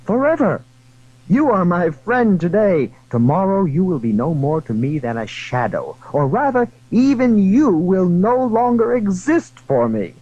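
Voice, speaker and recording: Aramis, a man playing a high-voiced, melodramatic young musketeer. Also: sing-song, a little slow, dark tone, dry recording, full character voice. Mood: solemn renunciation. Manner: theatrical, declaiming. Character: melodramatic young musketeer, pious then lovesick. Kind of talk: audiobook dramatization acting. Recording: dull, dry lo-fi 1980s recording.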